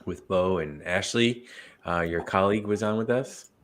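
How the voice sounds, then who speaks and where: A man co-hosting a casual livestream, asking short, relaxed questions and greeting viewers. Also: deep voice, moderate pace, a little hiss or hum. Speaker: livestream co-host.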